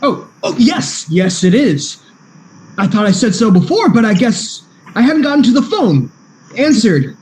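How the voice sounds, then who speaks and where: Man playing a bumbling detective with swooping pitch. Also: very animated, measured pace, a little mellow, full character voice, low-quality recording. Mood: awkward. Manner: hesitant, stammering. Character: bumbling, eager male private detective, war veteran. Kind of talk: comic radio play acting.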